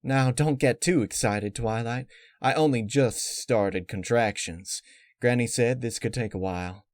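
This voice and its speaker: A man's voice: mid-range voice, a little slow, darkish tone, dry recording, clean studio sound, natural voice. A man voicing an anxious pregnant farm mare with folksy country phrasing in a story reading. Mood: easygoing.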